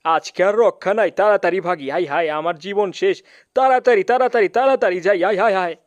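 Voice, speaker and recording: A man plays a young mouse nephew in a cartoon, high and clean-voiced, recorded dry. He is cheeky and naive, teasing his elder in colloquial Bangladeshi speech. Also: fast talker, dry recording, lightly acted. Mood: worried. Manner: playful, repetitive, teasing. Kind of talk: comic cartoon dub.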